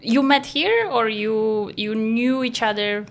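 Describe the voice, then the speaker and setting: Slow-talking woman podcast host. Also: on the high side, a little hiss or hum. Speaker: podcast host, academic advisor. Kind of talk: casual interview.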